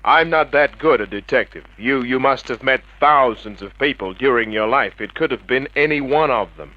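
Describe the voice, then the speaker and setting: An actor playing a wisecracking, cynical private detective with an American accent, dry and sardonic in a 1950s radio drama manner. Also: male voice, mid-range voice, moderate pace, close to an everyday voice. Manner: dry, sardonic, hard-boiled. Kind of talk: 1950s hard-boiled radio drama acting and narration. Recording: muffled vintage radio recording.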